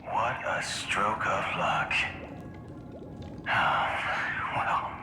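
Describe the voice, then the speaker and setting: A man's voice: lowish voice, extremely slow, pushed and bright, a little hiss or hum, heavily acted. Echoey, languid man of secrets. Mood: amused, knowing. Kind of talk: dramatic video game voice acting. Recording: echoey reverberant hall, music behind.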